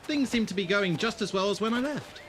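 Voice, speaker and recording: A man's voice: high voice, animated, fairly quick, fairly bright tone, slight background noise. A man playing a wry, commanding adventurer in an audio drama, with a terse, dry, blunt manner. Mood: brisk, wry.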